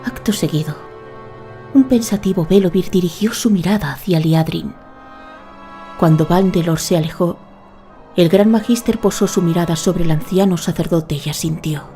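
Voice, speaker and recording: Low-pitched woman narrator over clear music. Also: dark tone. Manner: measured, storytelling. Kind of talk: scripted dramatic audio-drama narration.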